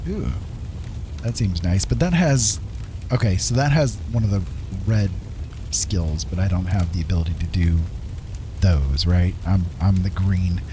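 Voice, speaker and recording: A man's voice: sits low, a little slow, noisy background. A middle-aged man giving casual, easygoing live gameplay commentary, thinking aloud as he chats with viewers. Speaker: game streamer. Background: loud game music.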